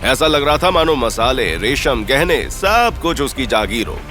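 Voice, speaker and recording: Male narrator, audio drama: suspenseful, theatrical, very expressive intonation, music underneath. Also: medium pitch, measured pace. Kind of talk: dramatic scripted story narration.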